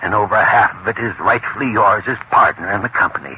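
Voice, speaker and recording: Man playing stiff old business boss eating humble pie, lowish voice, halting, muffled old radio. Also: slight background noise, natural voice. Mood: awkward, contrite. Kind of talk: 1940s radio drama acting.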